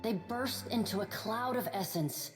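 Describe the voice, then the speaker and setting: A woman's voice: fairly high pitch, a little slow. Woman narrating fantasy over music. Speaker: game narrator.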